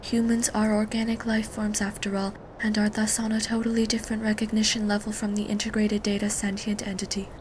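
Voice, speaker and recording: A woman playing a quiet, expressionless teenage girl in an audio drama, reciting her lines plainly. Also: medium pitch, monotone, brisk, hardly any pauses, lightly acted. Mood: emotionless. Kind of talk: fan audio drama acting. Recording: clear background noise and ambience.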